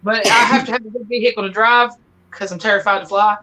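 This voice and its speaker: A woman's voice: medium pitch, steady tone, quick pace, sharp tone. A woman with a Southern US accent chatting casually as co-host on a group live stream. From Texas, US. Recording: clearly noisy home stream audio.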